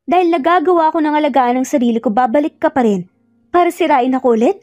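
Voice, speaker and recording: A woman's voice: high-pitched, fast talker, no echo, faint music behind, pitched above the performer's own voice, natural voice. A woman voicing a bitter, wounded and defiant young woman in an audio love drama, accusing and indignant. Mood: hurt, defiant. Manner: indignant, accusing, sharp rhetorical questions.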